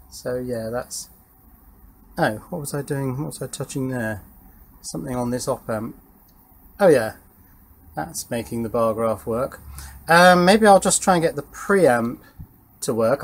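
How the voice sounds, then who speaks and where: A man gives a casual workshop demonstration, muttering questions to himself as he works through each step in a shed. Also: medium pitch. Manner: muttering, self-questioning asides while working. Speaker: electronics hobbyist presenter. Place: small wooden garden shed workshop.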